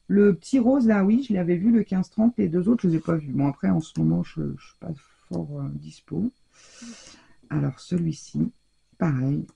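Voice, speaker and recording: A woman's voice: fairly low pitch, vocal fry, mellow, slight background noise. A woman from France chatting casually and rambling with many fillers as she shows her craft purchases in a haul video. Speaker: craft vlogger.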